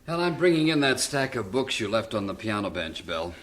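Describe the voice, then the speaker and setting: A man playing a fond, wry middle-aged father in a vintage radio drama, speaking gently and philosophically. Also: on the low side, very animated, a little fast. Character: fond widowed father, stock investor.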